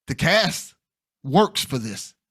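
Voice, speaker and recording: A man hosting a podcast, chatting casually and excitedly with an interview guest, often repeating phrases as he goes. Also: medium pitch, slow pace, slightly rough. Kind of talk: casual enthusiastic chat.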